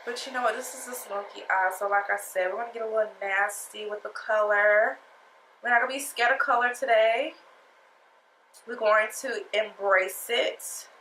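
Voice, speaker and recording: A woman's voice: fairly high pitch, fairly even intonation, moderate pace, a bit bright, reverberant, low-quality recording. A woman talking through a makeup tutorial in a casual, sassy, chatty way, her nose stuffed up from a cold. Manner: chatty, sassy, talks straight to viewers. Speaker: beauty vlogger.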